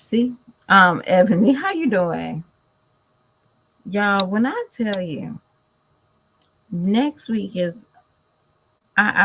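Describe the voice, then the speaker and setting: A woman's voice: fairly low pitch, gravelly, fairly bright tone, breathy edge, low-quality recording. A tired, congested woman chatting casually to her viewers in a vlog. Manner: chatty, addresses viewers directly. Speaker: vlogger. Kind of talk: casual chat to camera.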